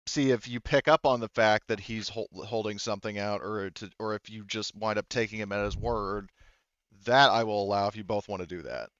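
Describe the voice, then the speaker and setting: A man refereeing a tabletop game as game master in casual, hesitant, halting phrases. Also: on the low side, fairly bright tone, clear, resonant tone, no echo, highs cut off.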